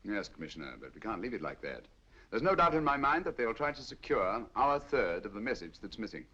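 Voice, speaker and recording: An actor playing a sharp, commanding detective in a 1940s film, speaking with crisp precision. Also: male voice, on the low side, very animated, brisk, exaggerated voice. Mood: keen. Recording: dull lo-fi old film soundtrack.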